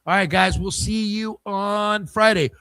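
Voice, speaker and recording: A man's voice: sits high, fairly even intonation, unhurried, bright, forceful tone, clear, resonant tone. A man hosting a sports podcast, chatting loosely and signing off for the holidays. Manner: loose, rambling and friendly. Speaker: podcast host. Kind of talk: casual sports show chat.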